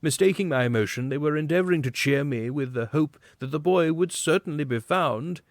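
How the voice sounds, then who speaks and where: A man's voice: a little high, fairly quick, no room sound, clean studio sound. A man giving a grave, theatrical reading of a literary story as an audiobook narrator. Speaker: audiobook reader. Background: background music.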